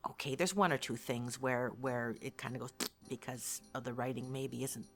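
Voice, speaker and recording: A woman's voice: a bass voice, fairly even intonation, moderate pace, soft background music. A woman speaking candidly and expressively as an interviewed voice actor in a casual online call.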